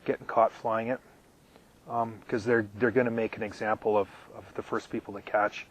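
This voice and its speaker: Man losing his voice, clearing his throat. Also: lowish voice, little pitch movement, poor audio. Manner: halting, with many ums and repeated words. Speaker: channel host answering viewer questions. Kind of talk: live casual Q&A. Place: camping in the desert in his RV.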